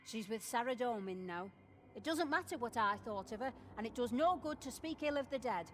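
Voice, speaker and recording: Woman as a highish-voiced, brusque kitchen nun. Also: expressive, fairly quick, a little hiss or hum, lightly acted. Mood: disapproving. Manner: curt, defensive. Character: brusque, suspicious, judgmental nun who cooks in the kitchen. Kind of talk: voiced video game dialogue.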